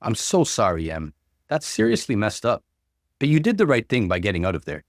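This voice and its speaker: A man's voice: on the low side, big pitch swings, brisk, no echo. A loyal young female friend read out by a male narrator in a dramatic story. She sounds concerned and reassuring, with swooping intonation and a dry, close sound. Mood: sympathetic. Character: supportive, shocked young woman, a loyal best friend.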